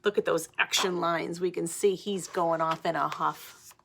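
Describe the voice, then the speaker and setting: A woman teacher reading a picture book to children, animated, pausing for simple explanations and questions. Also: on the low side, gravelly, noisy background. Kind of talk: picture-book read-aloud with asides to children.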